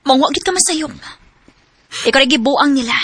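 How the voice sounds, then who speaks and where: A woman's voice: high voice, moderate pace, background noise, lightly acted. An actress playing a young woman's blunt, teasing best friend in a radio drama. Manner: blunt, scolding.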